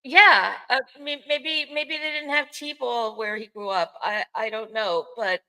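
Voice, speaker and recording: A woman's voice: on the high side, measured pace, sharp tone, low-quality recording. An older woman with a Bronx accent, co-hosting a sports podcast in casual, unscripted chat with wry, self-mocking asides. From the Bronx, New York, United States.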